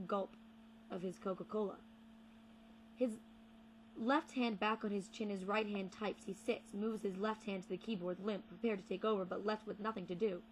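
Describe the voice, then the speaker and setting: A young woman performing spoken word poetry with deliberate, rhythmic phrasing and repeated words. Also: medium pitch, slight background noise, low-quality recording. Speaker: slam poet.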